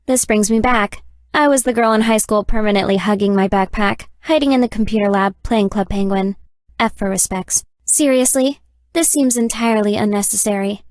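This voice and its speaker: A woman voicing a dry, deadpan, nerdy young gamer girlfriend in a dating game. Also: mid-range voice, moderate pace, no room sound, lightly acted. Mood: dry, sardonic. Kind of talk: scripted dating game character dialogue.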